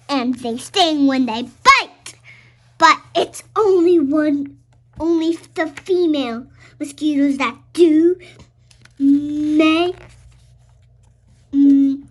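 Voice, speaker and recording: A woman's voice: high voice, fairly bright tone. A young girl reading a children's picture book aloud, now and then stumbling over words and repeating them. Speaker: read-aloud show host.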